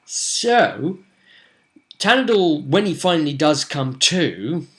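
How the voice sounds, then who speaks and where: A man's voice: fairly high pitch, very animated, slow pace, fairly bright tone. A young man recapping a tabletop game session in a rambling, dramatic, casual way, as a game master vlogging. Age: young adult. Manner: rambling, dramatic storyteller, gesturing. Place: small room at home, indoors.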